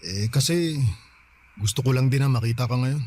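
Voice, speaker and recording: A man's voice: medium pitch, moderate pace, a little mellow, slight background noise, natural voice. A male actor in a Filipino radio drama playing a tender bachelor neighbour, earnest and wooing. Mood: eager, tender. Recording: prominent music under the dialogue.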